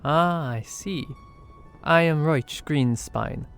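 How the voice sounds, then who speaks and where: A man playing a gentle, dignified older half-elk town elder in a fantasy audiobook, courteous and gently wry. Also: a little high, takes it slowly, creaky, soft background music, exaggerated voice.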